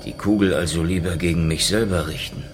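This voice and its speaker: A man's voice: low voice, fairly even intonation, fairly quick, music in the background, close to an everyday voice. An actor in a German radio play playing a cornered, hard-boiled Soviet secret policeman, defiant, terse and dryly sarcastic.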